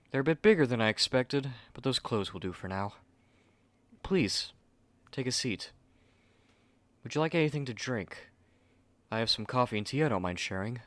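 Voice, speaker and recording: A man's voice: mid-range voice, fairly bright tone, dry recording. A man playing a haughty, fussy young manga artist in an ASMR roleplay, speaking curtly and articulately to the listener on a close mic. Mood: composed, aloof.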